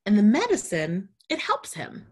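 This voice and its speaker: A woman voicing a fond, patient mother spider who gently teases and explains, in a children's storybook. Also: mid-range voice, huge pitch swings, a little slow, husky, voice pushed up, full character voice. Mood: explaining. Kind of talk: children's storybook read-aloud.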